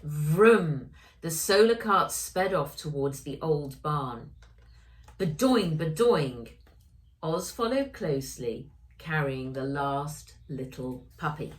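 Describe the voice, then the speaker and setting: A woman author reading her children's story aloud in an expressive storytelling style. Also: low voice, takes it slowly.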